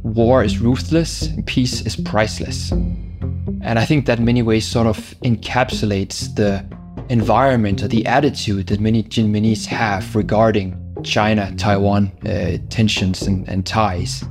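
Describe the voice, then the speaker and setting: A man who writes about the islands, explaining their situation in a measured way, with pauses and fillers. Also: on the low side, fairly even intonation, music in the background. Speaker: journalist guest. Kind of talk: explanatory interview answers.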